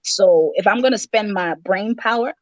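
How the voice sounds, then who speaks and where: A woman's voice: medium pitch, normal speed, muffled recording. A woman from Malawi, a rapper talking as a guest in a casual interview, candid and self-assured in long flowing answers.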